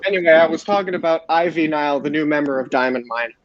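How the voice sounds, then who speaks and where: A man hosting a podcast, giving a casual wrestling recap in a halting way, often repeating phrases. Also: a little high. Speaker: podcast host. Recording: roomy, dull lo-fi voice chat.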